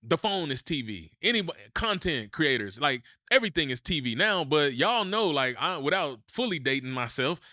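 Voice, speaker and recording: A man from Mississippi, hosting a podcast and telling a personal story in an animated way, going low and slow for the serious parts. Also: dry recording, clean studio sound. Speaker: podcast host.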